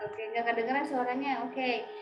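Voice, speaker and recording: A woman with a high voice teaches an online lecture over a video call. She keeps checking that students follow and prods them with questions. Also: fairly even intonation, normal speed, muffled recording, music in the background. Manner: questioning, prompts students with frequent tag questions. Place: home, online class.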